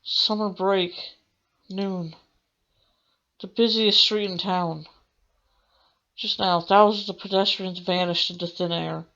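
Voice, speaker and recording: Bright, forceful young-sounding woman gamer. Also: mid-range voice, steady tone, takes it slowly, long pauses, highs cut off. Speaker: gaming channel host. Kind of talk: reading visual novel narration aloud for a let's play.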